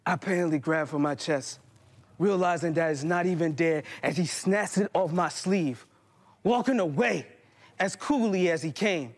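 High-pitched American man performing spoken word. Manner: dramatic, deliberate phrasing with pauses. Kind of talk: performed poetry monologue. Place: indoor hall stage before small audience.

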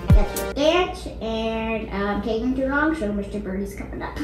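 A female performer voicing a silly, awkward male squirrel puppet, halting and giggly, in a homemade comedy show. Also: a little high, expressive, distant mic, over music, natural voice. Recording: echoey room with loud noise.

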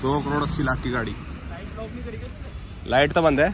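A man's voice: mid-range voice, moderate pace. Chatty vlogger man on street. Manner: joking, chatty, laughs often. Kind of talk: casual vlog chat. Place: outdoors on busy city streets and at an expo. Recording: loud traffic noise outdoors.

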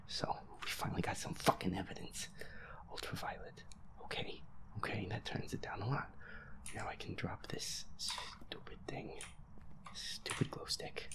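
A man muttering to himself in a breathy, whispery voice as he plays a game, repeating himself and swearing. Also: fairly low pitch, poor audio. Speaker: gamer playing a horror game. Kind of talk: hushed solo gameplay chatter, thinking aloud.

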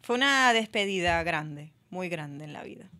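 A woman in her thirties with a Dominican accent, a guest actress talking casually in a radio studio interview, lively and quick to laugh. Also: on the low side. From Dominican Republic. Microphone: studio mic on arm.

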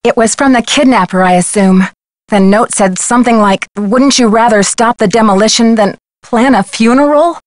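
A young female detective from a video game, acted by a woman, earnest and inquisitive. Her voice sits fairly high and is recorded very dry and close. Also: normal speed, no echo, natural voice. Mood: concerned. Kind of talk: scripted video game dialogue.

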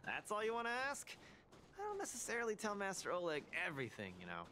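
A man's voice: high voice, huge pitch swings, fast talker, bright, forceful tone, a little hiss or hum, full character voice. A man playing a cocky, upbeat young fighter in a video game, brash, eager and friendly. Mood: upbeat, breezy.

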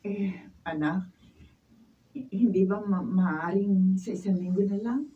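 A woman's voice: medium pitch, a little slow, dark tone, close to an everyday voice. A woman with a Filipino accent playing a meek, doting mother in a radio drama, pleading and stammering hesitantly. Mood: pleading, soothing. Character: meek, doting, struggling middle-aged mother. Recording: dull, distant camera mic, faint noise.

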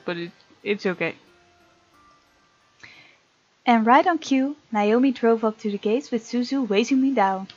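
A woman reads a visual novel aloud as a let's play host, dropping in casual remarks. Her voice sits fairly high and her manner is playful and expressive. The close-mic sound is dry and a little dull. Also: breathy edge, dry recording. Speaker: gaming video host. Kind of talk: let's play, reading game text aloud with casual asides.

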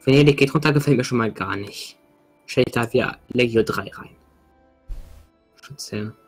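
A man with a husky voice chatting in a laid-back way during a game session. He thinks aloud about his moves at a middle pitch. Faint music runs behind him. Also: normal speed. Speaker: let's play co-host. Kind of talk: casual gaming chat.

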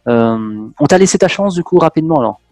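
A man's voice: a little high, lively intonation, fast talker, darkish tone, no echo, clean studio sound. A man in his thirties hosting a video-call interview, chatty and direct, speaking straight to viewers. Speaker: host. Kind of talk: conversational interview. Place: indoor room. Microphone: headset on video call.

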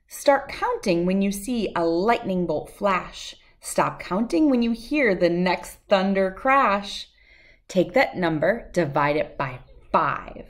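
A middle-aged woman reads a rhyming children's picture book aloud in a measured, sing-song way. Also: medium pitch.

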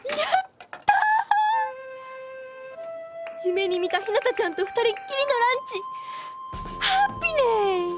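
A woman playing a flustered, lovestruck high school girl in an anime comedy, rambling dramatically in anxious inner monologue. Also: fast talker, few pauses, music in the background. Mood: elated. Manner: overexcited, dramatic, rambling in self-reproach.

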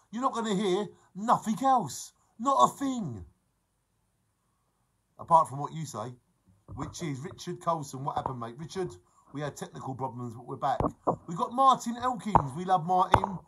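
A man in his forties livestreaming to viewers, talking fast and excitably and breaking into song, filmed on a phone held close in a room. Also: fairly high pitch, husky, rough recording. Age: 40s. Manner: excitable, sings snatches and repeats phrases. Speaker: vlogger. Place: indoor room beside a fish tank. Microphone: phone held close at arm's length.

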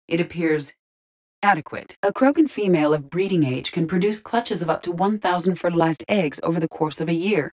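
A woman voicing a calm, logical, curious female artificial intelligence in a video game, with precise, measured, formal delivery. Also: lowish voice, close to an everyday voice. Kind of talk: video game character voice lines.

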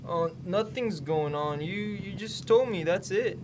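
A man acting a hesitant, apologetic young man in radio play dialogue, speaking haltingly. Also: sits high. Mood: nervous. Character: hesitant, apologetic young man, reluctant stalker and book lover. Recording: clear background ambient noise.

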